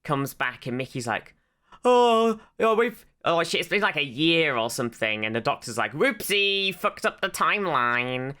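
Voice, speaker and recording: A podcast co-host giving a casual, rambling retelling in an animated, informal way, with some swearing. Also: mid-range voice, moderate pace. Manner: animated retelling, acts out quotes.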